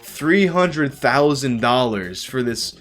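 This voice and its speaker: A man's voice: mid-range voice, animated, slow, a bit bright. A young man answering viewer questions on a livestream, talking in a candid, rambling, profane way. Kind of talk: casual livestream Q&A.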